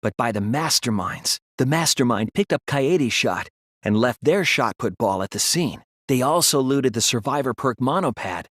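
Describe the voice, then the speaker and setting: Earnest, expressive teen boy detective. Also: mid-range voice, no room sound, studio quality. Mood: grave, deductive. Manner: earnest, measured explaining that turns to strained pleading. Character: earnest teenage boy detective, sensitive and anxious. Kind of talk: dramatic English video game dub acting.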